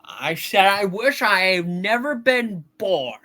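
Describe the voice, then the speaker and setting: Troubled, skeptical everyman, male performer: sharp, animated, folksy stammering delivery, hammy old radio-play feel. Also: sits high, slow pace, heavily acted. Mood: bitter, despairing. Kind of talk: hammed-up radio play reading.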